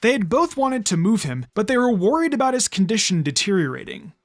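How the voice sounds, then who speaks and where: A narrator giving an expressive reading of a fan fiction story. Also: mid-range voice, a little fast. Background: background music.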